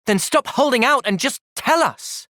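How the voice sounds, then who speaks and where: Young soldier, gentle but resolute, sincere game-cutscene delivery, lively pitch, close dry sound. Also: male voice, moderate pace, no room sound, exaggerated voice. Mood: urgent, shouting. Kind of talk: video game cutscene acting.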